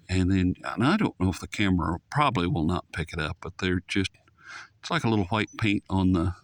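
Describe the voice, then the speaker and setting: A man casually reviewing a shortwave radio in a folksy, rambling way that drifts off topic. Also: fairly low pitch. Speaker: radio reviewer, channel host. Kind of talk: casual, unscripted product review.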